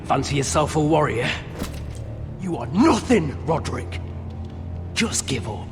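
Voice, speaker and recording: Sneering high-voiced young tyrant lord. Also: male voice, big pitch swings, measured pace. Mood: cruel taunting. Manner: taunting, sneering, foul-mouthed. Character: sadistic, arrogant young lord, a swaggering bully. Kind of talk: dramatic video game acting. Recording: long reverb, music behind.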